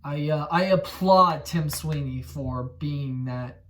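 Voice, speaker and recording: A man in his twenties hosting a gaming channel, talking in casual commentary that rambles, gets excited and corrects itself. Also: on the high side, slow pace, recorded from a distance, slight background noise. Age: 20s.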